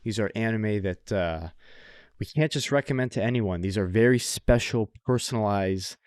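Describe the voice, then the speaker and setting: Male podcast co-host, lower register, dry sound, loose chatty delivery with repeated phrases and casual swearing. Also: moderate pace, clean tone, no echo. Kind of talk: casual podcast chat about anime.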